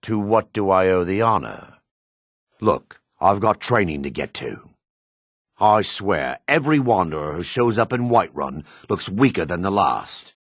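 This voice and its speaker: An actor playing a proud, gruff dark elf warrior in a fantasy game, with a raspy voice and a haughty, clipped, sardonic manner. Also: male voice, low-pitched, very animated, measured pace, no room sound, clean studio sound, heavily acted. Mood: proud, disdainful. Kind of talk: fantasy video game character dialogue.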